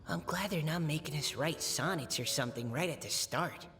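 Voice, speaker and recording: A woman's voice: low voice, normal speed, a bit bright. A woman voicing a friendly, easygoing young woman adventurer in a video game. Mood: relaxed agreement.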